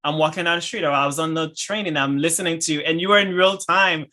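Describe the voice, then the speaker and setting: A man with a Haitian accent talks in a bright, ringing tone that sits a little high. He is an enthusiastic podcast host who laughs often. His phrases come in halting bursts with frequent restarts. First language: French and Haitian Creole. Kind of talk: casual interview chat.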